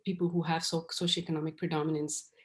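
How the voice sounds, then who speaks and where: A woman's voice: low voice, level tone, fast. A woman moderating a panel discussion, thanking and responding to guests. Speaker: panel host.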